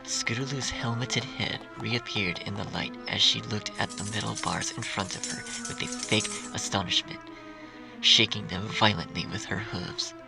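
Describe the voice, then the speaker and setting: A man's voice: on the low side, fairly even intonation, moderate pace, vocal fry, low-quality recording. A man reading a story aloud as narrator, in a scripted audiobook style. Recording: music under the reading.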